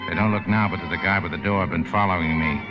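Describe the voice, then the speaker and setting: An actor playing a tough, cynical boat skipper with dry, laconic sarcasm in a 1940s radio drama. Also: male voice, deep voice, fairly even intonation, fast talker, over loud music, close to an everyday voice. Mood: tough, businesslike. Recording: dull old radio recording.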